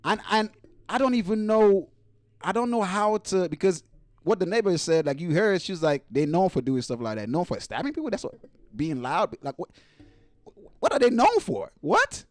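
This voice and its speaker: Animated high-pitched man ranting casually. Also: normal speed, no room sound. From Nigeria. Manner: slangy, profane, exasperated. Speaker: host of a live reaction show. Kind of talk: casual ranting reaction commentary.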